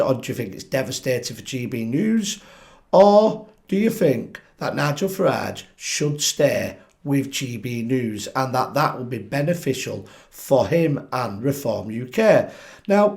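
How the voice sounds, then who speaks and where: A man hosting his own political commentary channel, croaky from a chest infection, talking animatedly and putting questions straight to his viewers. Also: medium pitch. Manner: animated, asks viewers directly, frequent restarts. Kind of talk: opinionated solo commentary to camera.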